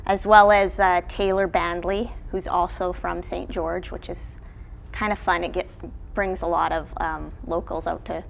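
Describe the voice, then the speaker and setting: Female coach as interview guest, lowish register, casual delivery, noticeable background noise. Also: level tone, a little slow. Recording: clearly noisy background ambience.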